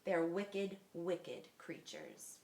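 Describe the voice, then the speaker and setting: A woman voicing a firm, serious male mouse dentist in a storybook, announcing things crisply. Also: fairly low pitch, very slow, a little mellow, echoey room, slight background noise. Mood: grumbling.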